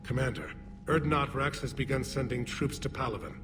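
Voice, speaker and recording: A man playing a formal, dignified alien military leader in a video game, speaking in a stately, deliberate way. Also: mid-range voice, animated, close to an everyday voice. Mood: solemn, grateful. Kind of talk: video game dialogue acting. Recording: game music behind.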